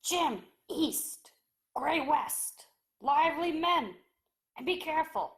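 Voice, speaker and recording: Woman playing a stern, slow-spoken ship's captain. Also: high-pitched, lively intonation, fairly bright tone, heavily acted. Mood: stern, commanding. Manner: curt, authoritative. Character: stern, commanding ship's captain defending a stockade.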